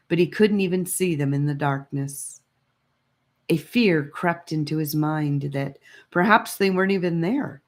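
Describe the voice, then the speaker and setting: Slow, low-voiced woman reading a story. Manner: measured, expressive storytelling. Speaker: audiobook reader. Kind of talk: scripted story narration.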